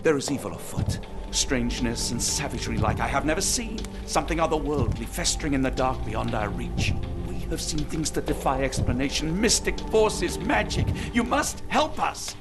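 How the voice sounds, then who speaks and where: Sardonic British detective, swooping intonation. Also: male voice, fairly high pitch, very animated, moderate pace, loud music behind the voice. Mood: urgent, fervent. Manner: theatrical, cutting, formal. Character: sardonic, intense British detective, mocking and fervent. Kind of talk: scripted video game cutscene acting.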